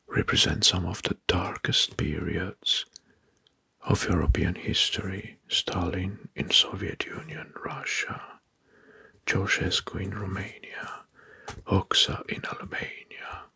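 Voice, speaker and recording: A man whispers in a low, very husky and breathy voice with a gravelly edge. He narrates history in ASMR style, setting out short phrases slowly and deliberately. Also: fairly even intonation, pushed and bright, no room sound. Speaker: ASMR video host. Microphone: close microphone.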